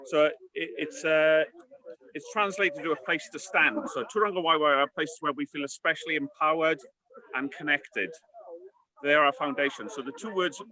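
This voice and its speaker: A man's voice: fairly high pitch, sharp tone, poor audio. A man from Wales, a parkrun regular talking casually outdoors and explaining words with care. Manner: earnest, explaining words slowly. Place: outdoors at a park run event.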